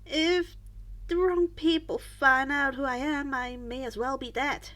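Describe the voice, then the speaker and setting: A woman voicing an anxious, awkward teenage boy with halting replies in a story reading. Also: sits high, fairly even intonation, a bit bright. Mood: pleading. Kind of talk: podfic character voice.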